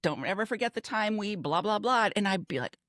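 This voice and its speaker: A woman's voice: mid-range voice, lively intonation, a little fast, a bit bright, no echo, clean studio sound. A woman in her fifties, a podcast co-host, talking in a casual conversational style.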